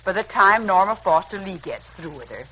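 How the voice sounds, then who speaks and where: A woman's voice: medium pitch, lively intonation, fairly quick, pushed and bright. A woman playing a folksy, good-natured housekeeper in a 1940s radio serial. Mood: amused. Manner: folksy, homespun phrasing. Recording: noisy old 1940s radio recording.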